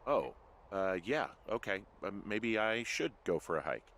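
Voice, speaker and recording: An actor playing a weary, anxious American fire lookout in a video game, hesitant and exasperated in natural dialogue. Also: male voice, on the low side, big pitch swings, measured pace, fairly bright tone, exaggerated voice. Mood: puzzled.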